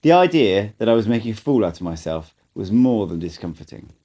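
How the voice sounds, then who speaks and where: Man, amateur audiobook narrator, low voice, animated pitch, dramatic storytelling. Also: brisk. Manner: expressive, dramatic storytelling delivery. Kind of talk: first-person audiobook narration.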